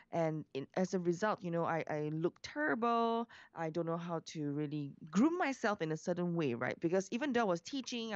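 A woman's voice: on the low side, no echo, dull audio. A woman from Singapore, an entrepreneur guest, telling her life story in a chatty way with many fillers. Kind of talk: interview storytelling.

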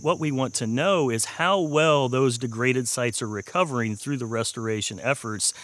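A man in his forties with an American accent, explaining research in a measured way as a wildlife scientist in a documentary interview. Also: medium pitch, a bit bright. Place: outdoors in a wooded swamp. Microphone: lapel mic. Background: music, insects.

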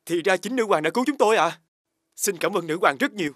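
A man playing a brave, polite young hero in an animated fairy tale, speaking earnestly. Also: high-pitched, fast talker, no echo, studio quality. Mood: grateful.

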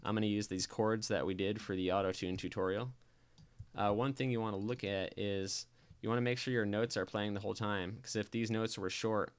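A man narrating a software tutorial in a casual, informal, step-by-step instructional way. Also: lowish voice, clean tone. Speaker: tutorial presenter.

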